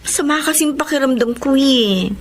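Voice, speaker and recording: A woman's voice: high voice, moderate pace, a little hiss or hum, natural voice. A woman playing an earnest, proud young saleslady in a radio drama, with a Filipino accent. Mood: worried. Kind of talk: Filipino radio drama acting and first-person narration. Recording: music under the voice.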